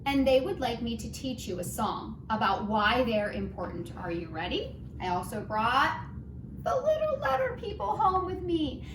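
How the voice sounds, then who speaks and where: A woman teaching a children's phonics lesson in a gentle, encouraging, singsong way, at home. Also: fairly high pitch, big pitch swings, moderate pace. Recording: roomy, distant, noisy home recording.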